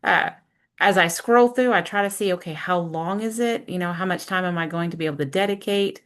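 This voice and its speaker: Woman teacher with a lowish voice, chatting through a screen share, thinking out loud bit by bit. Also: brisk. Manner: conversational, explains step by step with self-questions and check-ins. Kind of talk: informal screen-share walkthrough, thinking aloud.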